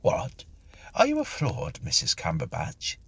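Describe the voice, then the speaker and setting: A man voicing a prim gentleman ghost, indignant and sarcastic, in a storybook reading. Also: deep voice, wildly swooping pitch, measured pace, creaky, husky, dry recording, full character voice. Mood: shocked indignation.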